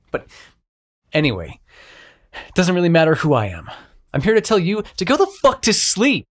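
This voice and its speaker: Man playing exasperated god of dreams, widely swooping pitch. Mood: irritated, sarcastic. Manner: sarcastic, profane, sighing, addresses listener as mortal. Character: exasperated, sarcastic god of dreams, a grumbling Sandman. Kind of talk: intimate scripted roleplay audio drama monologue.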